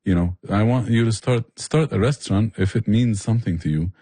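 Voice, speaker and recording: A man's voice: lowish voice, brisk, dark tone, husky, dry recording. A man explaining things with passion in a conversational interview. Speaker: barbecue restaurant owner and chef, interview guest.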